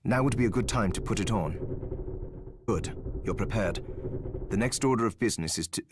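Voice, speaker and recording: An actor playing a guilt-ridden, loyal alchemist in a video game, grave and measured in his speech. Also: on the low side, noisy background, lightly acted. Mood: grave, explanatory. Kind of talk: video game cutscene acting.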